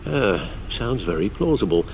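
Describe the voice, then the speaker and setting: A fairly low older male confidant, measured and concerned. His intonation moves widely despite the dry understatement, in game-drama style with faint noise behind. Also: very animated, a little mellow. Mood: wary.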